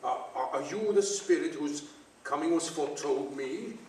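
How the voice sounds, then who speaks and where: A man's voice: a little high, normal speed, close to an everyday voice. A man playing a frightened, querulous old miser in a theatrical radio play, stammering and pleading as he repeats himself. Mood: terrified, desperate. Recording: distant echoey theater stage, slight noise.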